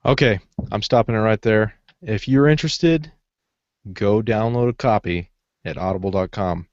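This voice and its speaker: A man's voice: fairly low pitch, normal speed, dry recording. A man chatting casually as a podcast co-host. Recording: dull, dry lo-fi online-call audio.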